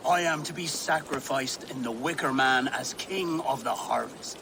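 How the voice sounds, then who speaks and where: A man's voice: mid-range voice, normal speed, close to an everyday voice. A man playing a merry, carefree village elder resigned to his fate, in a dramatic game cutscene. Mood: serene. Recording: clear outdoor ambience noise.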